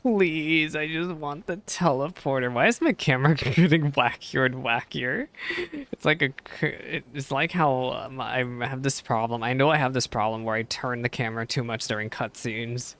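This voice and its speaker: A man's voice: medium pitch, moderate pace, a bit bright. Casual male game streamer thinking aloud. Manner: self-deprecating, thinking aloud. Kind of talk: casual live gameplay commentary, reading game text aloud. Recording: stream with faint background noise.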